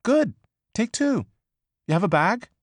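Audiobook: man doing a high, swooping, pushy young woman, close and dry. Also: big pitch swings, no echo, full character voice. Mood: breezy. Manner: quick, emphatic, swearing freely. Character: brash, excitable young woman, pushy and gossipy. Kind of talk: audiobook character voice.

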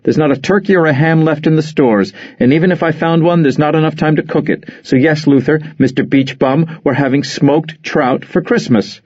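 Guy doing a bossy wife voice, pitched way up, barks orders, dull dry recording. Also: no echo, natural voice. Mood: fiery. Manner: brisk, commanding, bright phone politeness. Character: bossy harried middle-aged wife planning a party. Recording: dull, dry studio audio.

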